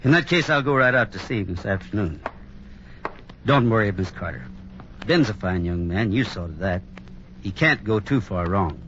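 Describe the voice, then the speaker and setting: An actor playing a kindly, steady, sincere Western hero who also tells the story in a 1950s radio drama. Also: male voice, fairly low pitch, normal speed, pauses often, close to an everyday voice. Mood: reassuring. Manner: sincere, reassuring. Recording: muffled old radio recording, faint noise.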